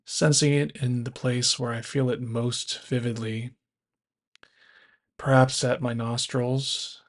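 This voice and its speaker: A man guiding a body scan meditation as an instructor, speaking in measured first-person instructions. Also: mid-range voice.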